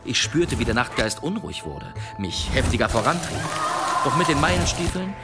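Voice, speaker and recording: Cocky adventurer telling tall demon stories in a radio drama, flowery, medium pitch, music behind. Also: male voice, very animated. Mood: dramatic boasting. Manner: florid, measured storytelling. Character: self-assured male adventurer spinning tall demon tales. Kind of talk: German radio play acting.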